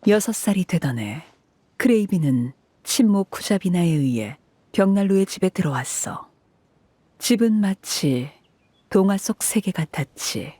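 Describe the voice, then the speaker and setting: A voice actress playing a cold, composed woman commander in a video game, speaking in a measured, detached and authoritative way. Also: on the low side, lively intonation, breathy edge, dry recording. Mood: somber, detached. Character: cold, composed commanding woman harbinger recounting a grim past. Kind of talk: scripted video game voice acting. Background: soft background music.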